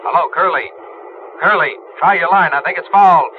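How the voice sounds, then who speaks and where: An actor playing an anxious ship's hand in an old-time radio drama, calling urgently to divers below. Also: male voice, fairly high pitch, a little fast, close to an everyday voice. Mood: urgent, alarmed. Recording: noisy, muffled old radio drama recording.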